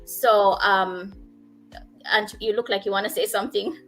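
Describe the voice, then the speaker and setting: A woman's voice: mid-range voice, steady tone, a little slow, faint music behind, poor audio. A woman co-hosting a talk show, speaking earnestly and thoughtfully with frequent hesitations. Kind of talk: casual talk show chat.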